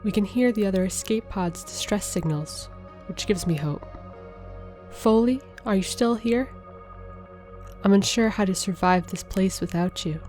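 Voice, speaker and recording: A woman's voice: mid-range voice, unhurried, darkish tone, faint music behind, natural voice. A woman voicing a sincere, reflective female alien explorer, reading her written logs aloud. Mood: mournful.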